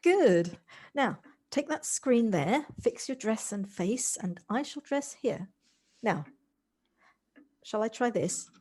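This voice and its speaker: A woman's voice: fairly high pitch, lively intonation, takes it slowly, a little mellow. A woman in an amateur audio drama playing an exuberant, affectionate lady, eager and effusive, often repeating her words. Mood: warm, admiring. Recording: home recording on a personal device.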